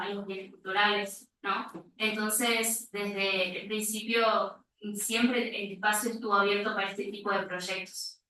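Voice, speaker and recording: A woman's voice: mid-range voice, pushed and bright. A woman with an Argentine accent giving a spontaneous answer as a conference panelist. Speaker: conference panelist, library volunteer organiser. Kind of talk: spontaneous answer to an audience question. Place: reverberant indoor conference room. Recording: very echoey room, distant mic, faint noise.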